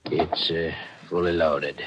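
An actor playing a sly, mercenary pawnbroker with hesitant, formally polite phrasing in a 1940s radio drama. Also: male voice, very deep voice, little pitch movement, slow pace, noisy background. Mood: sly, unhurried. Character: sly male pawnbroker, illicit gun seller, coolly mercenary. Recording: muffled vintage radio recording.

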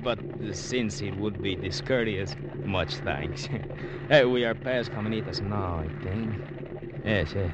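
An actor playing a courteous, scheming Cuban police lieutenant with a Cuban Spanish accent and formal phrasing, in 1950s radio adventure style. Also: male voice, fairly low pitch, a little slow, close to an everyday voice. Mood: smooth, courteous. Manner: formal, polite, over-apologetic phrasing. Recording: noisy old radio broadcast recording.

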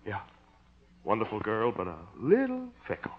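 An American actor playing a big, jealous, slow-witted small-town bully in a 1950s radio comedy. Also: male voice, on the low side, wildly swooping pitch. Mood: deflated. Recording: muffled vintage radio recording.

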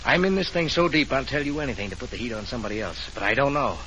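An actor playing an agitated, evasive murder suspect with a short temper in a 1940s radio drama, pushy and blurting. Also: male voice, mid-range voice, animated, very fast, fairly bright tone, natural voice, rough recording. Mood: cagey, nervous. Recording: hissy late-1940s radio recording.

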